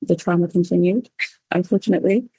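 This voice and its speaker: A woman from Cameroon who moved to the United States, telling her own story as an interview guest in a reflective, informal, pausing way. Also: lowish voice, dark tone, slightly rough, no room sound, rough recording. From Cameroon, then the United States. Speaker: interview guest, cookbook author. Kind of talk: personal storytelling in a conversational interview.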